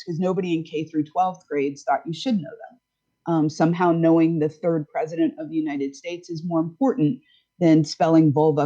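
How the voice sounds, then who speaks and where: A woman's voice: low-pitched, dark tone, lo-fi sound. A woman in her fifties, a sex educator, explaining things frankly and easily in a casual podcast chat. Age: 50s.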